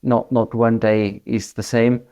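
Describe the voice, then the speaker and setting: A German man whose English picked up an Australian accent, talking through a business interview in a mellow, relaxed voice. He pauses often, with plenty of ums and false starts as he gathers his thoughts. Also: medium pitch, clean tone. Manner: hesitant, many fillers and restarts. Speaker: managing director, interview guest.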